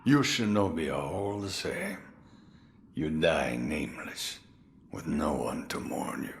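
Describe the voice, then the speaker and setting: A man's voice: a bass voice, animated, exaggerated voice. An actor playing a boisterous, menacing old swordsman in a tengu guise, theatrical and commanding, in a video game cutscene. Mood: menacing, amused. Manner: theatrical, slow, chuckling and commanding.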